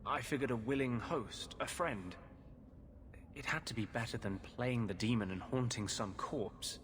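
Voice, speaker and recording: A man's voice: medium pitch, a little slow, a bit bright, slight background noise, lightly acted. A man playing an earnest, idealistic and bitter rebel mage in a video game, with a confiding manner. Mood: confiding, regretful. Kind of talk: dramatic video game acting.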